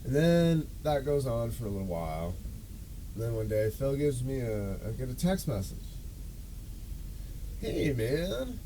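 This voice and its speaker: A man's voice: medium pitch, sing-song, unhurried, pauses often, warm tone, slight background noise, rough recording. A man hosting a podcast, telling a story in a casual, rambling, self-deprecating way with loose swearing. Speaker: podcast and show host.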